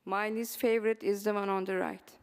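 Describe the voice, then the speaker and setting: Female presenter, Turkish accent, smooth voice, encouraging, filler-heavy delivery in a reverberant hall. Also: medium pitch, level tone, a little fast. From Turkey. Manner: frequent um and uh fillers, earnest and encouraging. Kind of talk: conference presentation. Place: symposium hall.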